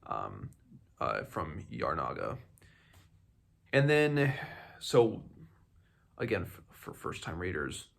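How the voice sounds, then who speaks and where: A man hosting a book read-along, talking casually and haltingly as he thinks aloud. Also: low voice, huge pitch swings, creaky.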